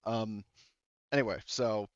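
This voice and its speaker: A man's voice: lowish voice, fairly even intonation, slow pace, no room sound, highs cut off. A man running a tabletop game session, talking in a loose, rambling way full of fillers. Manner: rambling, filler-heavy, laid-back. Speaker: game master.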